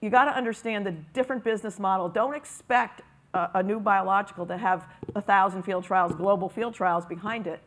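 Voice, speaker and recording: A woman speaking on a conference panel, explaining in a halting way with frequent restarts. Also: medium pitch. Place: conference room.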